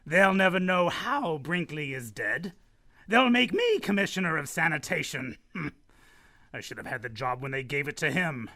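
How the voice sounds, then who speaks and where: A man's voice: a little high, sing-song, a little slow, pushed and bright, heavily acted. An actor playing a mad male city chemist who poisons people, cackling and paranoid, in an old-time radio play. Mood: sly gloating. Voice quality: cackling, swings from whispers to shrieks.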